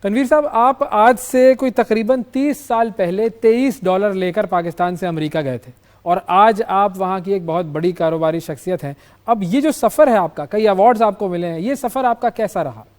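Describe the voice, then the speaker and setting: A man with a Pakistani accent presenting and interviewing as a current affairs host, in a measured, emphatic, formal manner. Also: high voice, darkish tone. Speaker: news programme host.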